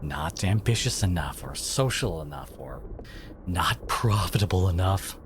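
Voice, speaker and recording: A man playing a devoted, protective boyfriend in an intimate ASMR audio roleplay, speaking haltingly with sighs and whispers, emotional and tender. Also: low voice, animated, background noise. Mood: frustrated, hurt. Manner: halting, sighing, slipping into breathy whispers.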